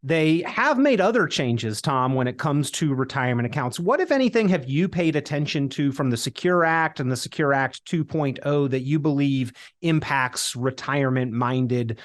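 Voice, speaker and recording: An American man hosting an interview, speaking in a measured way with careful, somewhat formal phrasing. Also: medium pitch, expressive. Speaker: host interviewer.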